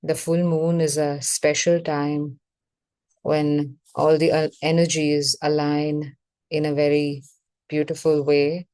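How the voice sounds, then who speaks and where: A woman leading a guided meditation as facilitator, speaking in measured short phrases with pauses between them. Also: low voice, little pitch movement, dark tone, dry recording.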